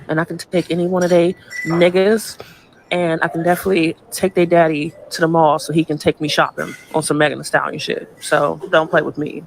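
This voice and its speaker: A woman with a deep voice, talking in a brash, profane, boastful way in a clip. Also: slight background noise, poor audio.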